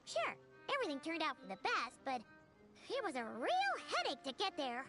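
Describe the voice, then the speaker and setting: Squeaky, very high-pitched little fairy companion. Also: female voice, wildly swooping pitch, moderate pace, full character voice. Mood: excited, chatty. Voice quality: squeaky, childlike. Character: tiny excitable childlike fairy companion, female, naive and chatty. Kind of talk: video game voice acting. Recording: music behind the voice.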